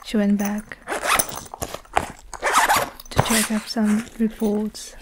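A young woman narrating an ASMR story in a hushed, deliberate way, often whispering, close on a lapel mic. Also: mid-range voice, monotone, very noisy. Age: young adult. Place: small indoor room with curtains.

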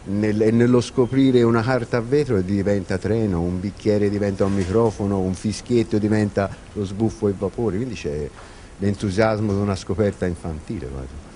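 A man's voice: on the low side, normal speed, warm tone. A man in his forties, a sound-effects performer, answers informally in an interview on a handheld microphone. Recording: faint room noise.

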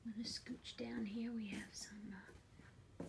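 A woman with a fairly high voice gives a casual, unscripted sales walk-through as an auction house presenter. She is recorded on a handheld phone in a big indoor warehouse, with noticeable background noise around her. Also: level tone, unhurried, vocal fry. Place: large furniture warehouse, indoors. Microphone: handheld phone camera, speaker behind it.

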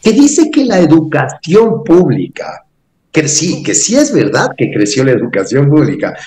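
A man's voice: on the high side, wildly swooping pitch, a little slow, mellow. A middle-aged man, a guest analyst giving mocking, crude commentary on a video call. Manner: sarcastic, crude, insulting. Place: home office, indoors. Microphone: webcam on a video call. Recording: echoey room on a webcam.

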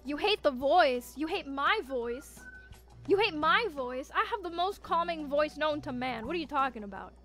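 High-pitched woman, playful livestreamer. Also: animated, normal speed, sharp tone, faint music behind. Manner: playful, teasing, theatrical emphasis. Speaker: VTuber game streamer. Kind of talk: casual livestream chat, reacting to viewers' messages.

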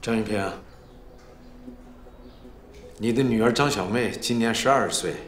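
Man acting as low-voiced earnest prosecutor, echoey room. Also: slight background noise, close to an everyday voice. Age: middle-aged. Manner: measured, formal, deliberate. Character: earnest, steady middle-aged male prosecutor interviewing a prisoner. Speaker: actor in a film drama. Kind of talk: naturalistic film acting. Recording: echoey room, music underneath.

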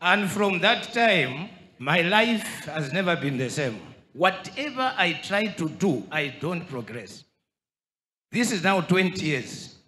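A middle-aged man from Zambia speaks slowly and earnestly into a handheld microphone during a church gathering. His voice is bright, a little high and very lively in pitch. The large hall leaves a roomy echo, with faint crowd sound behind him. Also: a little hiss or hum. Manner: earnest, slow testimony. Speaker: visitor telling his story. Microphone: handheld mic.

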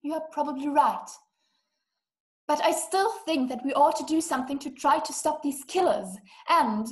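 A woman's voice: sits high, moderate pace, close to an everyday voice. A young woman playing an anxious, haughty, bedridden wife in a drama, agitated and imperious. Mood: insistent. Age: young adult. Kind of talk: radio-play acting over video call. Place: small room indoors. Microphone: webcam video call.

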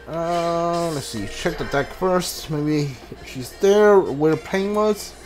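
A man giving casual video game commentary, halting and mumbling as he reads text from the screen. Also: on the high side, darkish tone. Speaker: gaming streamer. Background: video game music.

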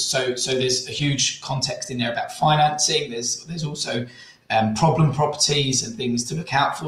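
Man on an echoey video call. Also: medium pitch. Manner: relaxed, frequent ums. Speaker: webinar guest speaker. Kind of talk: casual webinar talk.

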